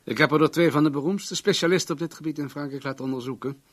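An actor playing a wealthy, controlling businessman in a radio play, formal, guarded and self-assured in a measured way. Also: a little high, a bit bright. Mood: matter-of-fact.

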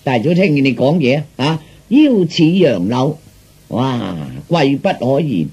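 A man voicing a glib, flattering fortune teller in Cantonese, with theatrical sales patter. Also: mid-range voice, measured pace, breathy edge, full character voice. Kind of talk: Cantonese storytelling performance.

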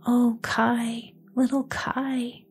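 A woman voicing an anxious little girl in a soft whisper, in a storybook tale. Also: fairly high pitch, level tone, extremely slow, soft background music.

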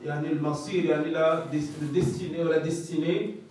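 A man's voice: a little high, fairly even intonation, normal speed, darkish tone, heavy reverb, recorded from a distance, slight background noise, poor audio. A man whose first language is not French, giving a religious lesson that relays a scholar's answers, and often stopping to search for the right French words. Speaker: religious teacher.

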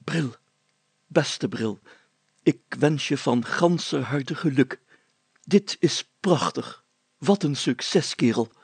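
A man voicing a pompous, excitable village mayor in an audiobook story, declaiming in grand, solemn phrases. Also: mid-range voice, slow, pauses often, husky, no echo, heavily acted. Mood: excited, moved.

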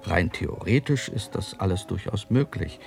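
An actor playing a grave, compassionate doctor in a German radio drama, speaking in a measured, explanatory way with thoughtful pauses. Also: male voice, sits low, animated, vocal fry. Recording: music under the voice.

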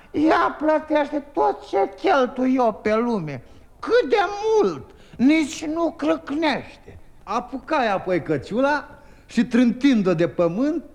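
A man playing a cheerful young peasant trickster in a 1950s radio drama, telling his tale in lively rhyme with chuckles. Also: high voice.

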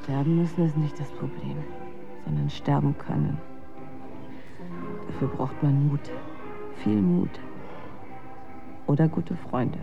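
A woman's voice: low voice, steady tone, takes it slowly, vocal fry, pauses often, exaggerated voice. An actress playing a weary, sardonic, despairing young woman in a radio drama, with blunt, dry retorts. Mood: bitter, cool. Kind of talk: German radio drama acting. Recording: dull lo-fi sound, faint music.

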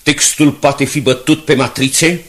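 A man's voice: a little high, steady tone, fairly bright tone, close to an everyday voice. A man playing a determined newspaper director under pressure in a radio drama, speaking in a commanding, emphatic way. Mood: determined, rousing. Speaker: actor.

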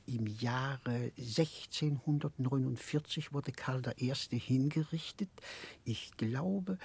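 A childlike, muddled gentleman in a 1960s German radio drama. He confides in a very husky, breathy half-whisper. His halting, conspiratorial speech moves slowly and keeps losing its thread, then swings up and down in pitch. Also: exaggerated voice. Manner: halting, confused, conspiratorial, self-correcting.

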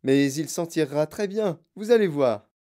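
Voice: mid-range voice, normal speed, dark tone, voice pushed up, close to an everyday voice. A storyteller voicing a flamboyant, gleeful male factory owner, eccentric, emphatic and mischievous. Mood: breezy soothing.

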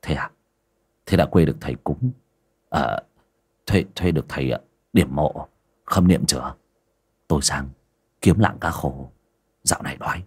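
A man voicing a brazen, scrounging village gentleman who teases and scolds in a blunt, casual way. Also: a bass voice, moderate pace, pauses often, breathy edge, pitched below the performer's own voice, full character voice. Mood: eager.

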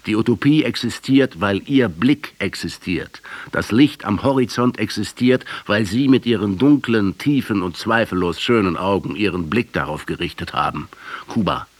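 An actor playing a bitter, sardonic intellectual in a German radio drama, speaking in an eloquent, rhetorical way with a husky voice. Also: male voice, lowish voice, expressive, normal speed. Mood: solemn, rhetorical. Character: Navarro, bitter Argentine intellectual man confronting a dead revolutionary.

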